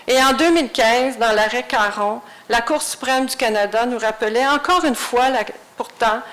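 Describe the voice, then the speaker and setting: A woman's voice: fairly high pitch, a bit bright. A woman reads out a prepared academic paper at a university colloquium in a formal manner. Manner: formal, read-out delivery. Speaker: conference speaker. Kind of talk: reading a prepared academic talk. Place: university lecture hall.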